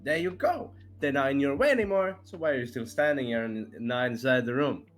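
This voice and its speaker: A man voicing a big, gruff male bear student with a blunt, commanding manner. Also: mid-range voice, lively intonation, a little fast, soft background music, voice pushed up, lightly acted. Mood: stern.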